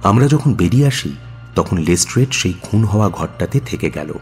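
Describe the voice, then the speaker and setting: Sidekick narrator, fairly low voice, animated swings, suspenseful, radio storytelling, music behind. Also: male voice, normal speed. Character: admiring male companion narrating a detective's adventure. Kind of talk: dramatic first-person storytelling in radio play.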